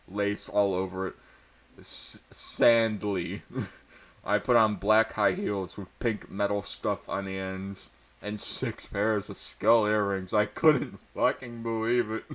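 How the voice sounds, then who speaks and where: Guy doing a slow jokey dramatic reading of fanfic, trips over words, cracks up easily. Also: fairly low pitch, a bit bright. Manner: breaks into laughter and stumbles over words. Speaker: amateur reader. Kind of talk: mock-dramatic reading of fan fiction.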